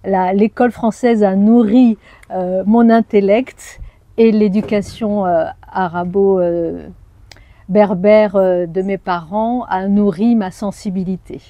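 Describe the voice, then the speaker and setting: A French woman in her forties, a journalist and anthropologist, telling her life story in an interview with thoughtful pauses and hesitations. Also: medium pitch, steady tone, lo-fi sound. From France. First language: Arabic. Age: 40s. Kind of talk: personal interview.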